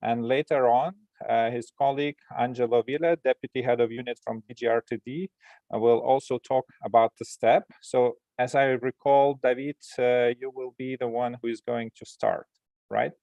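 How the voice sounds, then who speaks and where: Lithuanian-accented host, dry and plain. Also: male voice, mid-range voice, fairly even intonation, moderate pace, warm tone, dry recording, lo-fi sound. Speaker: virtual host. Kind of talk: formal webinar hosting. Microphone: video call.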